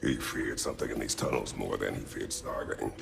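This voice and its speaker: Stern, weary game warrior god, bottomless rumbling growl, terse, stoic, roomy sound. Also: male voice, a little fast, heavily acted. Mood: grave, stoic. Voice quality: very deep gravelly rumble. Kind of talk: dramatic video game acting. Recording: roomy echo, game music behind.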